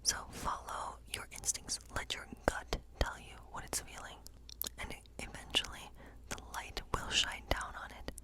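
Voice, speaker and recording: A woman speaks in a breathy, unvoiced whisper, as in an ASMR fantasy role play. She gives a tarot reading in a gentle, unhurried way, explaining things in short phrases with pauses between them. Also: low-quality recording.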